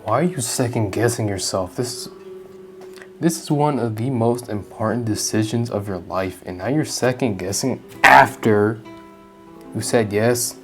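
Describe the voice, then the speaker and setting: Blunt, sarcastic deep-voiced woman. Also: a little slow, over music. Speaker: gaming video creator. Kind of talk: casual reaction commentary over gameplay.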